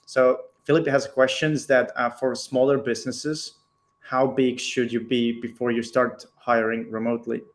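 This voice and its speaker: A man's voice: medium pitch, level tone, moderate pace. A man hosting a live Q&A webinar, hesitant with many ums and uhs, over a video call. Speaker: webinar host. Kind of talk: moderating a live Q&A.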